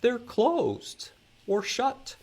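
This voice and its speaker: A man's voice: extremely high pitch, wildly swooping pitch, extremely slow, darkish tone, husky. A man hosting a library storytime, reading aloud and explaining things to young children with lots of simple questions. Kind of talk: children's read-aloud and sing-along.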